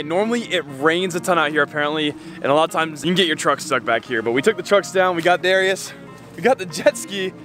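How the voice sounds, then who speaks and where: Young man, high bright voice, quick upbeat vlog host talking to a camera at arm's length. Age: young adult. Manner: upbeat, talks fast to the camera. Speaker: fishing vlogger host. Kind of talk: energetic vlog narration. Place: outdoors and in a truck, handheld camera.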